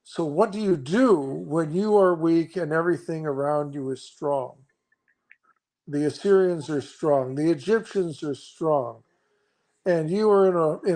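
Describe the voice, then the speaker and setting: A man with a somewhat high voice explains scripture in a conversation. He talks earnestly but haltingly, circling back and restarting his sentences as he works through his point. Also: a little mellow, rough recording. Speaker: guest.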